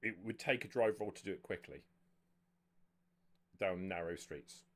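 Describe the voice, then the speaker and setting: A man with a deep voice runs a tabletop roleplaying session. He explains rules and sketches scenes off the cuff, often pausing and restarting as he works out the wording. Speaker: game master. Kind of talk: improvised narration and rules talk at a tabletop roleplaying game.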